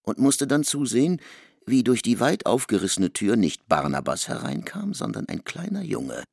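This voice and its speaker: A man narrating a literary radio drama dramatically, with a gravelly voice. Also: mid-range voice, sing-song, moderate pace, a little mellow, no room sound, studio quality.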